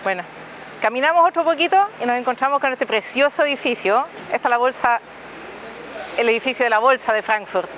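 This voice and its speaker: A chatty travel host, talking to camera outdoors in a city. The delivery is relaxed and explanatory, with questions to the viewers, and faint city noise sits behind the voice. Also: mid-range voice. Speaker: travel vlogger and guide. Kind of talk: casual travel narration to camera. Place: outdoors on a city tower deck and streets.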